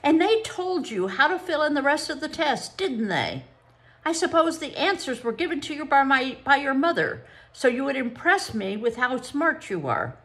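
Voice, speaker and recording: A woman voicing an officious woman psychologist who speaks briskly and grows shrill and spiteful, higher and louder than her own reading voice. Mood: accusing. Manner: brisk, schoolmarmish, turns shrill when excited.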